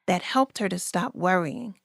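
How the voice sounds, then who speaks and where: A woman reading a rhyming children's story aloud in a deliberate, expressive way as its narrator. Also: lowish voice, gravelly, no room sound, studio quality.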